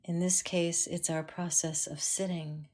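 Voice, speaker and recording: A woman teaching a guided meditation in a calm, measured way with long pauses. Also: lowish voice.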